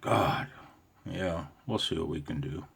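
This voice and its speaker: A man's voice: deep voice, unhurried, vocal fry, husky. An American man talking off the cuff in a casual vlog as an amateur radio operator, with a wry, self-deprecating manner.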